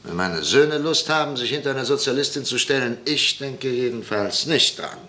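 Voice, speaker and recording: A man's voice: medium pitch, animated, moderate pace, pushed and bright. An older man playing a stern conservative professor and father, curt and authoritarian. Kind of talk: radio play acting.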